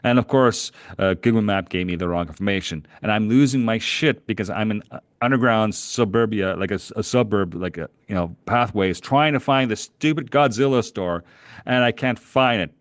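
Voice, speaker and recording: A middle-aged man from Canada, co-hosting a podcast and telling animated, rambling travel stories with frequent chuckles. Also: on the low side, normal speed, no room sound, studio quality. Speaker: podcast co-host. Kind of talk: casual chat, travel storytelling.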